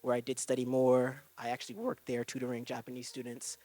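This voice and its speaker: A man speaking as a panelist at an event, relaxed and wry as he tells his story. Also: medium pitch, brisk, no room sound. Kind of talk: answering on a panel. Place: event room with audience.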